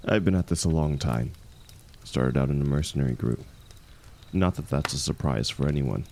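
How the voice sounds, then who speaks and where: A man playing a seasoned ex-mercenary warrior in an intimate fantasy audio drama, gruff but kindly, gently teasing and unhurried in his talk. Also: extremely low pitch, slight background noise. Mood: wistful.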